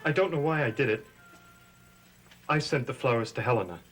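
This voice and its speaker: A man's voice: mid-range voice, lively intonation, a little fast, fairly bright tone, faint music behind, close to an everyday voice, low-quality recording. An actor playing an earnest, moody, lovesick young man in a gothic soap opera. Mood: confessing. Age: young adult.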